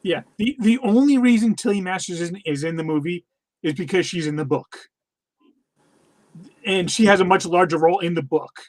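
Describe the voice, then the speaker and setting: A man's voice: high-pitched, normal speed. A man co-hosting a podcast, sharing film trivia in a laid-back way with hesitant ums and uhs. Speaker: podcast co-host. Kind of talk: casual film review chat.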